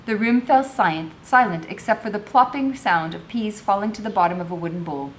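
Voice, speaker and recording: A woman reading a novel aloud as a teacher, with narration and informal explanation. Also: brisk. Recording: faintly noisy home recording with low rumble.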